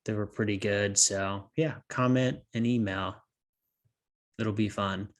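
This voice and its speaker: A man's voice: lowish voice, steady tone, unhurried. A man co-hosting a casual podcast, rambling and losing his train of thought, with a slightly nasal voice. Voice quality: a bit nasal. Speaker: podcast co-host. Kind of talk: casual chat.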